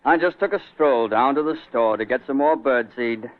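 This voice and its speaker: A thin vintage radio recording holds an actor as a mild, courteous fellow. He sounds sweet and genial, medium in pitch, in 1940s radio drama style. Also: moderate pace, natural voice. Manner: polite, soft-spoken, genial. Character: sweet, mild man, secretly a notorious criminal. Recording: tinny, band-limited old radio recording.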